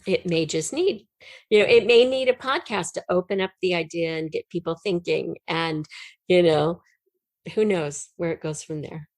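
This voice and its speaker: A middle-aged woman with a slightly low voice, recorded dry with little room sound. She talks as an interview guest, explaining her ideas in an earnest, expressive way. Key words get emphasis as she reflects out loud. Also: normal speed, no room sound. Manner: earnest, emphatic, expressive storytelling with illustrative metaphors. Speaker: podcast guest, writer.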